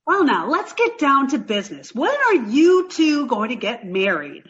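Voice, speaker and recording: A woman's voice: high voice, huge pitch swings, normal speed, a bit bright, lo-fi sound, full character voice. A woman playing a cheerful, naive, blunt young roommate in a 1940s-style radio comedy. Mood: blunt, earnest. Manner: naive, matter-of-fact.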